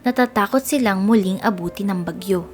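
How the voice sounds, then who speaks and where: A woman with a Filipino accent narrating a scripted children's storybook in an expressive read-aloud style. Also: mid-range voice, a little fast.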